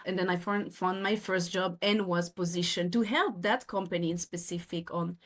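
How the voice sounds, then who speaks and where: A woman's voice: lowish voice, fairly even intonation, moderate pace. A woman from France with a French accent, in her thirties, earnestly explaining her work as a podcast guest, often restarting her phrases. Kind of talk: podcast interview.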